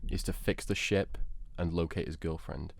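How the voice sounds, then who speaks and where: Low-voiced man on a debate podcast. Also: fairly even intonation, moderate pace, vocal fry, no echo. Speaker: podcast panellist. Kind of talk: casual debate chat, some reading of a prepared pitch.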